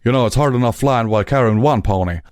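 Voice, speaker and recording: A man voicing a gruff, protective male pegasus with a folksy drawl, scolding a friend. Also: on the low side, brisk, a little mellow, dry recording, studio quality, close to an everyday voice. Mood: angry.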